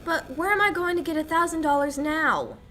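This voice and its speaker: A woman playing an exasperated, sharp-tongued young sweetheart, brisk and sarcastic, in a 1940s-style radio play. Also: high-pitched. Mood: alarmed.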